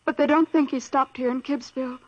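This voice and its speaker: An actress playing a gentle, earnest young woman who runs a small-town gas station, in 1940s radio style. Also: sits high, steady tone, a little fast, dull audio.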